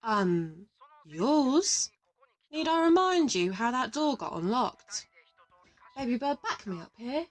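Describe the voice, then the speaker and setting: A woman's voice: on the high side, sing-song, slow pace, exaggerated voice. A woman playing a cheeky, teasing former assassin turned thief in an audio roleplay, playful and taunting. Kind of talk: audio drama roleplay dialogue.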